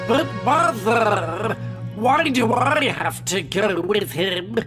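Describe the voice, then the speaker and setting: Slow, whining alien villain's son. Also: female voice, mid-range voice, expressive, music in the background. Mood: sulky, whining. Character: dim sulky adult alien son of a villain. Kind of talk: sci-fi audio drama acting.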